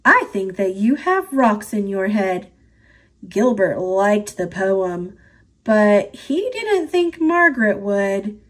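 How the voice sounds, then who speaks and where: A woman reading a children's picture book aloud in a gentle, expressive manner. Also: mid-range voice, slow. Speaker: storybook reader.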